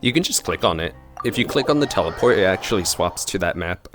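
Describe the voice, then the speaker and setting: A man with a very low voice reacts to a video game. He talks in casual, playful asides, with faint music behind him. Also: animated, fast, fairly bright tone. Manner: playful, informal muttering asides. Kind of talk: casual gameplay commentary.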